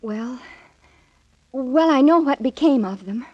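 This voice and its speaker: A woman's voice: sits high, expressive, slow pace, no echo, muffled recording, heavily acted. An actress playing an earnest, flustered twenty-year-old American woman in a 1940s radio drama, hesitating as she explains. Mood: flustered, eager.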